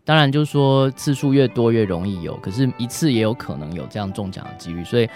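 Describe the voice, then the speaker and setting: A man's voice: mid-range voice, lively intonation, quick pace, darkish tone, faint music behind. A man with a Taiwanese Mandarin accent, speaking as a guest doctor and giving informal, plain-spoken advice in colloquial words. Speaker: doctor, guest expert on a radio show. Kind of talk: informal advice in question and answer.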